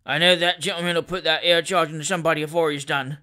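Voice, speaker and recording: A man's voice: fairly high pitch, fairly even intonation, quick pace, bright, forceful tone. A man voicing a grumbling, sardonic gamekeeper in a storybook reading. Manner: growling, dry.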